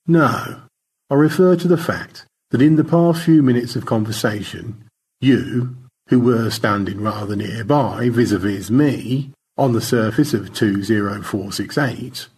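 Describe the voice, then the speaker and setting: A man's voice: medium pitch, a little mellow, husky. A man voicing an earnest, fussy space crewman in an audio story, speaking in a precise, formal, politely roundabout way. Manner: precise, circumlocutory, hesitantly polite.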